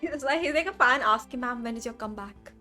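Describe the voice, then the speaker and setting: A woman's voice: fairly high pitch, fast talker, sharp tone. A woman streamer reacting live to a game in casual, chatty interjections. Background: faint game music.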